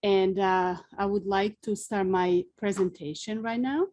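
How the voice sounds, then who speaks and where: A woman in her forties from Serbia with a Serbian accent, a sculptor giving a careful, unhurried webinar presentation with frequent fillers, speaking outdoors. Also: medium pitch, fairly even intonation, a little mellow.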